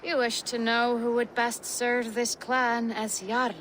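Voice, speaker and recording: A woman playing a solemn, cryptic Norse seeress in a video game cutscene, deliberate and portentous. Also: fairly high pitch, level tone, fairly bright tone, a little hiss or hum. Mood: mystical, grave.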